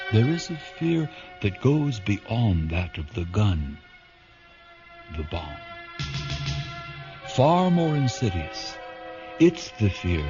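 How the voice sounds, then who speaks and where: A man narrating a science fiction radio drama as a grave announcer, deliberate and portentous with dramatic pauses. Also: on the low side, lo-fi sound.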